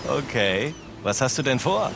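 An actor playing a confident, protective adventurer with a casual, dryly joking delivery in a German video game dub. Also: male voice, medium pitch, big pitch swings, unhurried, gravelly, noisy background. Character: wry adventurous male treasure hunter, protective and confident.

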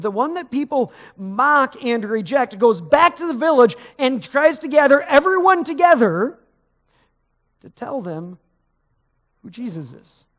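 A man's voice: very high-pitched, a bit bright. Man, middle-aged, pastor, conversational sermon, emphatic rises, large church sanctuary. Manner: conversational preaching, rising with emphasis, gesturing while pacing the stage.

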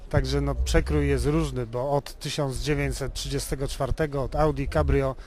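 A man's voice: medium pitch, steady tone, moderate pace, a little mellow. A Polish man being interviewed informally as a car owner, explaining in a wordy way and often rephrasing himself. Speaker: interviewee, classic car owner and hobby restorer.

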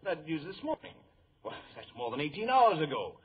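Blustering sea captain, tinny radio. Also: male voice, on the high side, sing-song, fairly quick, husky, heavily acted. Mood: exasperated. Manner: emphatic, salty, blustering. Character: gruff, blustering, worried ship's captain, man. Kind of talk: old-time radio drama acting. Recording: tinny old radio recording, faint noise.

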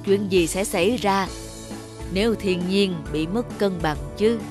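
A woman voicing a wise, patient old male tree spirit who teaches in a measured way in an animated fable. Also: medium pitch, music in the background, lightly acted. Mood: wise, solemn. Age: older. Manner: measured, didactic, gently instructive.

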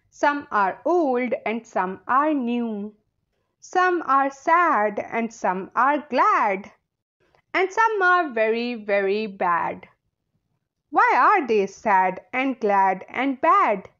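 A woman narrating a children's storybook aloud in a deliberate, sing-song way. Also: sits high, fairly bright tone. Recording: slightly dull, lo-fi audio.

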